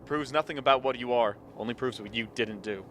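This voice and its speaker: A man's voice: mid-range voice, a little fast, fairly bright tone, natural voice. An actor playing a grim, suspicious man at an isolated polar camp in a radio play, terse and wary. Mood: tense, suspicious. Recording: faint background noise.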